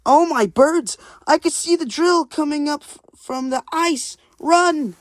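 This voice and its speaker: A casual reader voicing excitable comic-book adventurers with dramatic, exclaimed dialogue. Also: sits high, unhurried, dry recording, voice pushed up. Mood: panicked.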